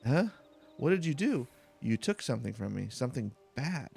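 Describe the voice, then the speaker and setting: A man voicing a weakened, bewildered but grateful patient in a game, reading the dialogue aloud with halting questions. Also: mid-range voice, big pitch swings, vocal fry, a little mellow, soft background music.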